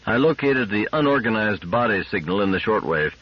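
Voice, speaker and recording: A man's voice: fairly low pitch, expressive, brisk, breathy edge, dull audio. A man voicing an agitated, bitter male field engineer in a story reading, with exasperated, emphatic delivery. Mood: worried.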